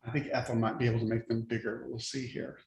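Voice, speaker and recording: A man's voice: medium pitch, little pitch movement, fast talker, creaky, echoey room. A man hosting a live interview over a video call, speaking in a friendly, hesitant way with many ums. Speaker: host. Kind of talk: casual interview chat.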